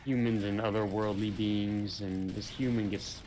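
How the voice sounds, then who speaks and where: Man, low voice, rambling, hesitant, casual gamer chat, noisy game sounds behind. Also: fairly even intonation, measured pace, darkish tone. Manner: rambling, hesitant, many fillers.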